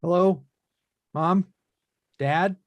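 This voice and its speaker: An actor playing an earnest, reasoning adult son in a table read. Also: male voice, high-pitched, drawn-out words, no echo, highs cut off. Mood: searching.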